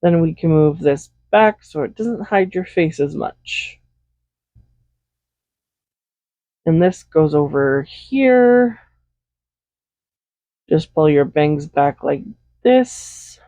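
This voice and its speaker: A man playing a smug, possessive villain who dotes on a captive hero in an intimate audio roleplay, soft-spoken, unhurried and condescending. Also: fairly high pitch, animated, no echo, dull audio. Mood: doting. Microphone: close USB condenser microphone.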